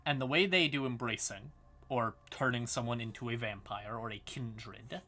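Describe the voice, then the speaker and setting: A man's voice: mid-range voice, normal speed, pushed and bright. A man hosting a livestream, explaining fantasy lore in a casual, informal manner with some swearing. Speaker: live streamer and host.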